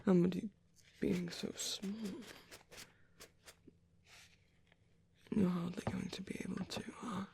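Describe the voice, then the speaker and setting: A man voicing a gentle, caring vampire priest in an ASMR roleplay, speaking in a soft, breathy whisper with unhurried, hesitant pauses. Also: high-pitched, flat delivery, slight background noise. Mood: gentle, concerned. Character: gentle, caring male vampire priest comforting a little one. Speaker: ASMR performer.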